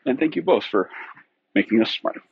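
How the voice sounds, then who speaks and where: A man's voice: mid-range voice, expressive, normal speed, vocal fry, slightly rough, no echo. A man leaving an upbeat, rambling listener message for a podcast. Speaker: listener caller. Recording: dull lo-fi phone recording.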